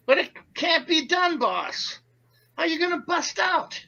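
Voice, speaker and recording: High, sharp gangster henchman acted by a man. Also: lively intonation, a little slow, vocal fry, lo-fi sound, heavily acted. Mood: puzzled, worried. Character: Stuart, male gangster henchman, blunt, gullible, anxious. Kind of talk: 1940s radio acting.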